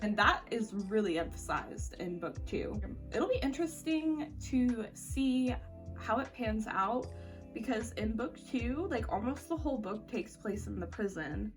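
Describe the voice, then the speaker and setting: A woman's voice: a little high, animated, unhurried. A woman giving a casual, chatty book review in an informal, slangy, opinionated way. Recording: slightly distant mic, faint music behind.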